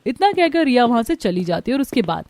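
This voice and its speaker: A woman's voice: a little high, very animated, quick pace, a little mellow. A woman storyteller reading scripted narration for a cartoon tale.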